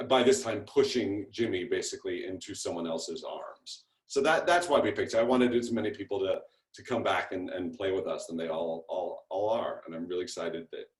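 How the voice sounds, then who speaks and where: A man talking casually on a video call, in a halting, self-deprecating way. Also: deep voice. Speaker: TV series creator introducing his cast. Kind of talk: casual spoken interview. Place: indoor room on a video call. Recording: echoey room over video call.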